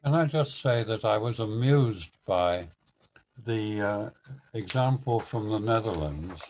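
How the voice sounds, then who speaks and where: Slow, measured, reflective man. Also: lowish voice, expressive, a little mellow, rough recording. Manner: reflective, measured, with long hesitant pauses. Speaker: webinar participant. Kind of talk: spoken reflection in an online discussion.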